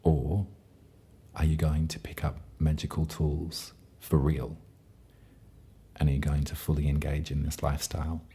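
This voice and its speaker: A man voicing a personified tarot card that presses pointed questions slowly. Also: rumbling low, monotone, mellow, slightly rough, exaggerated voice. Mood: challenging.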